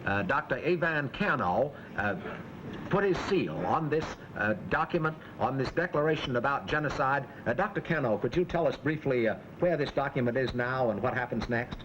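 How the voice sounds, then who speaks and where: A man hosting a semi-formal broadcast panel interview in the 1940s, phrasing his questions in a halting way. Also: mid-range voice, animated. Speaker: host and moderator of a panel discussion. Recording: old 1940s broadcast, faint background noise.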